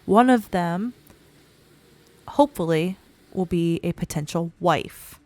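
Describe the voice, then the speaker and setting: A woman hosting a relaxed gameplay video, her voice scratchy and croaky from a cold, chatting in a laid-back, self-deprecating way. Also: on the low side, takes it slowly. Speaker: gaming channel host. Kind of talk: casual gameplay commentary.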